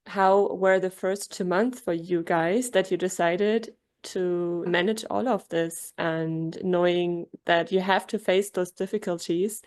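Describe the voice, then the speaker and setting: A woman hosting a podcast, giving the introduction and asking long, carefully framed questions in a friendly, clear way. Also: fairly low pitch, a little mellow. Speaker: podcast host.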